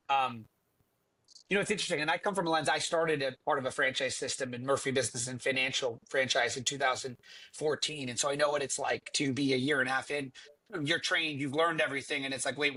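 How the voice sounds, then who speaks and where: A man's voice: mid-range voice, steady tone, fairly quick, bright, forceful tone, low-quality recording. A middle-aged man, a company chief executive, answering on a business panel in an earnest, conversational way with frequent fillers and restarts. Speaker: franchise company CEO.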